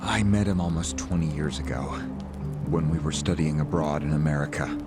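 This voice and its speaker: A cowed, educated captive in a video game, with a deep voice and a formal, hesitant way of explaining himself. Loud music runs behind him. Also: male voice, steady tone, moderate pace. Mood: subdued, explaining. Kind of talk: English video game cutscene acting.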